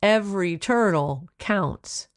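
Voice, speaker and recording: A woman's voice: medium pitch, lively intonation, slow pace, no echo, clean studio sound. A woman voicing a kindly, knowledgeable male wildlife rescuer in a children's storybook, reassuring and explanatory. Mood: frank, hopeful. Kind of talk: children's storybook read-aloud.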